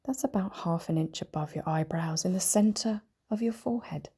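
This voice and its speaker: A woman leading a guided meditation in a soft, breathy, whispery voice, speaking gently and soothingly with long, deliberate pauses. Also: lowish voice. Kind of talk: guided meditation, body scan.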